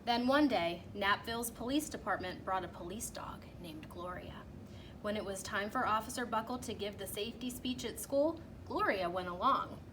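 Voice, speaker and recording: A woman reading a children's storybook aloud in an animated, emphatic way, drawing out key phrases. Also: medium pitch, normal speed. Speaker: teacher reading a picture book aloud. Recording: clearly noisy background.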